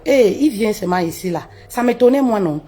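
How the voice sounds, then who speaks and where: A woman, telling her own story live, her voice jumping high for emphasis and dropping low and quiet. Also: brisk. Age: 30s. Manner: expressive, swoops high for emphasis, drops low and quiet, laughs often. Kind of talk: animated personal storytelling. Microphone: phone on a live stream.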